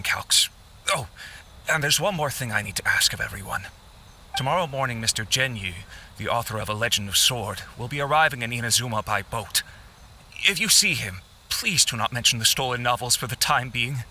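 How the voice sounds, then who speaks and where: A man's voice: medium pitch, animated, moderate pace, pushed and bright, a little hiss or hum. A man voicing an earnest, apologetic publishing official in a video game, formal and deferential. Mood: courteous, earnest.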